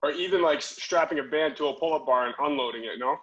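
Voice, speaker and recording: A man's voice: fairly high pitch, quick pace, a bit bright, highs cut off. A man taking part in a casual group call, giving short, tentative answers to a coach.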